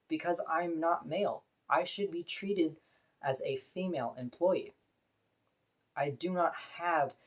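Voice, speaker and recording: A woman with a deep voice, talking to camera in an earnest, opinionated, plain-spoken personal vlog. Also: a little mellow. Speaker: vlogger.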